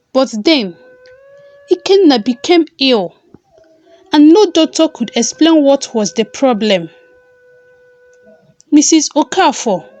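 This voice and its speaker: A woman narrating a scripted folktale as a storyteller, in a measured and deliberate manner with pauses between phrases. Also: high-pitched, a little mellow. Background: background music.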